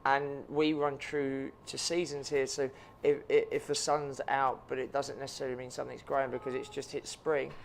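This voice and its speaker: Gravelly New chef, earnest. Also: male voice, mid-range voice, level tone, moderate pace, few pauses, a little hiss or hum. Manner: earnest, frequent ums and repeated words. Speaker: chef and restaurant owner. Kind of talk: interview answers.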